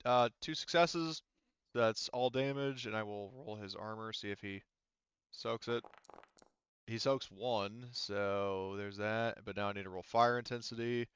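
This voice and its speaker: Low-voiced man running a tabletop game. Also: steady tone, measured pace, fairly bright tone, clear, resonant tone, no room sound, dull audio. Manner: casual, profane. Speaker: game master.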